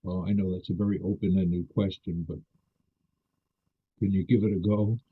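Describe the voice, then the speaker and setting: A man's voice: deep voice, little pitch movement, normal speed, slightly rough. An older man interviewing a guest, polite and hesitant, often correcting himself mid-sentence. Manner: polite, hesitant, apologetic, restarts phrases. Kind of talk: interview questions. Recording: tinny phone-like line.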